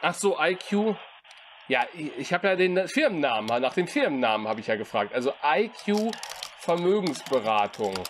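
A man hosting a prank call show, calm and dry, needling the caller with sarcastic questions. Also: a little high, moderate pace, a little hiss or hum. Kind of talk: dry sarcastic phone chat. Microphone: phone call recording.

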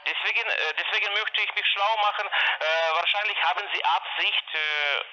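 A man cold-calling with a sales pitch over the phone, hesitant and full of filler sounds but pushy. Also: normal speed, sharp tone. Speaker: scam cold caller. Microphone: telephone. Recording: dull phone line with faint hiss.

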